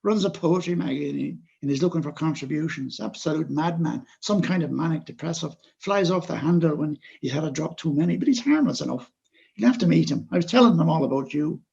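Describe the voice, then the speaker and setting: A brisk, offhand male poet trading gossip, as a male reader puts him on. Husky and gravelly, a little quieter than the narration. The sound is dull and lo-fi. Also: a little high, exaggerated voice. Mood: gossipy.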